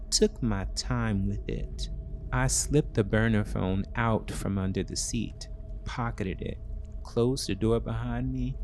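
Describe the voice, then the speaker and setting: A man narrating a scripted first-person thriller in short, measured, dramatic phrases. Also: lowish voice, creaky, a little mellow, a little hiss or hum.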